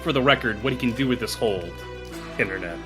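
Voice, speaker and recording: A man speaks as the game master of a tabletop podcast, in a mid-range voice. He recaps and explains in a casual way, with clear music underneath. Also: mid-range voice, fast.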